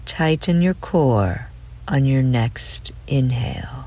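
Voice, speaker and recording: A woman leading a guided relaxation meditation in a gentle, soothing way, with phrase ends trailing off into breath. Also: very deep voice, expressive, slow.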